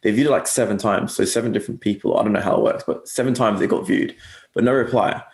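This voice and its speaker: A young man whose accent drifts between English and Australian, talking in a low, gravelly voice. He rambles and corrects himself often, with plenty of fillers, in a casual interview chat. The sound is echoey, like a room on a video call. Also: fast talker. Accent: mixed English and Australian. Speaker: guest agency owner.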